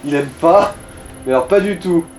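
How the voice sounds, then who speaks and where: A man's voice: on the high side, moderate pace, darkish tone, soft background music, rough recording. A man chatting casually as co-commentator on a video game, advising the player in slangy, mildly crude language. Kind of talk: casual gaming chat.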